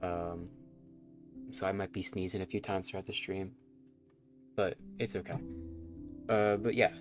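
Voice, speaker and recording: A man's voice: sits low, fairly even intonation, unhurried, over music. A man with a nasal, stuffy voice, rambling and self-conscious as he chats through a live game stream. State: stuffy nose from allergies.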